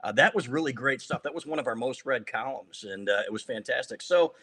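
A man's voice: mid-range voice, expressive, brisk, bright, forceful tone. An American man hosting a sports podcast, chatting casually with a friend in an informal, joking, teasing way. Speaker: sports podcast host interviewing a colleague.

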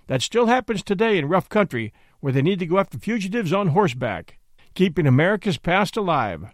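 Male podcast host, high-pitched and animated, scripted intro on a dry close mic. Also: a little fast, dry recording.

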